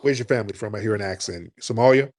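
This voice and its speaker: Lowish-voiced guy hosting a call-in show, blunt, cussing, worked up. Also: quick pace. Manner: blunt, profane and animated, mocking others in a high put-on voice. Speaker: host.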